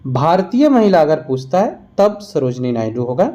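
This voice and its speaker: A man's voice: a little high, sing-song, normal speed, dark tone, highs cut off. A man narrating a quiz-style lesson as a teacher, explaining and repeating answers for emphasis. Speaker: exam-prep history teacher.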